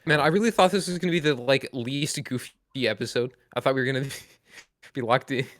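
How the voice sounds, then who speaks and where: A man's voice: mid-range voice, fairly quick, no room sound. A man co-hosting a podcast, bantering casually in a slangy, jokey way. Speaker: podcast co-host.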